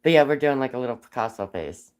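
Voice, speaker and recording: A man with a cold and a raspy voice, chatting casually on a live stream while whittling wood, rambling with frequent ums. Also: mid-range voice, expressive, fast talker. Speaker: livestream host whittling wood.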